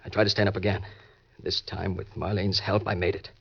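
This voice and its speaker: Noir radio villain: low, clipped, cynical and edgy, slangy delivery, dull vintage recording. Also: male voice, little pitch movement, brisk, creaky, breathy edge, heavily acted. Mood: cynical, tense. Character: hard-boiled murderous schemer, cynical and edgy. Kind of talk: old-time radio crime narration and acting.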